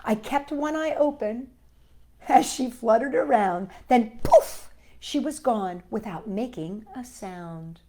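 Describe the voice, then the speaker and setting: A woman hosting a children's storytime, reading aloud and chatting in a playful, expressive way and putting questions to her young listeners. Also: fairly high pitch, slow pace. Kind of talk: animated read-aloud and chat for young children.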